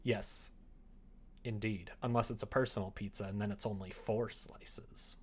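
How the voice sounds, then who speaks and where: A man streaming as he writes a visual novel, chatting casually and thinking out loud as he goes. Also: on the low side, fairly even intonation, a little hiss or hum. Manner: thinks aloud, reads typed prose slowly and haltingly. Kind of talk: casual livestream chat, murmuring draft lines while writing.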